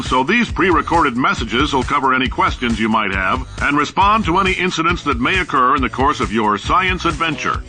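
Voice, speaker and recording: A brash male science boss, played by an actor, makes a comic recorded announcement. His mid-pitched voice swings widely, breezy and blunt, over clear background music. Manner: blunt, breezy, deadpan showmanship.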